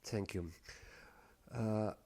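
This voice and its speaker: A man's voice: on the low side, very slow, dark tone. A man interviewing a guest, asking questions hesitantly with many fillers.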